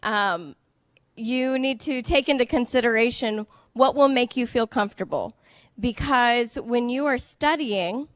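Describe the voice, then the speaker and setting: A woman speaks slowly and clearly into a microphone, pausing to check her audience follows. Her voice sits a little high, and the sound is dry with little room echo. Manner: clear, patient, checks understanding. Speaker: international student services director. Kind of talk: slow, simple presentation for English learners.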